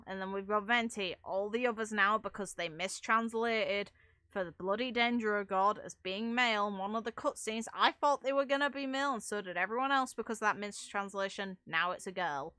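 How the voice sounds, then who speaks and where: A woman's voice: medium pitch, moderate pace, sharp tone, clean tone, no room sound. A woman giving casual, rambling commentary on game lore, informal and a little sweary, with wry asides. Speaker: gaming video creator discussing game lore.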